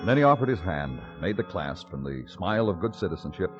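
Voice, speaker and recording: An actor playing a weary, hard-boiled New York police detective, terse and clipped, in old radio crime style. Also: male voice, deep voice, big pitch swings, brisk, over loud music. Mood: brooding, poetic. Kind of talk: old-time radio crime acting and noir narration. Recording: muffled vintage radio recording.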